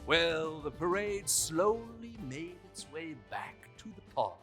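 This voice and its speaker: A woman narrating children's stories in a theatrical, expressive way, with drawn-out phrasing, gasps and chuckles. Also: low-pitched, soft background music. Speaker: children's storyteller. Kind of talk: animated storybook narration for young children.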